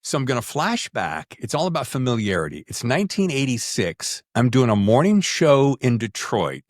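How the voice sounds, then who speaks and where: Animated middle-aged American man hosting. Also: mid-range voice, normal speed. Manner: playful, theatrical. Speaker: podcast host. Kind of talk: show introduction and interview chat.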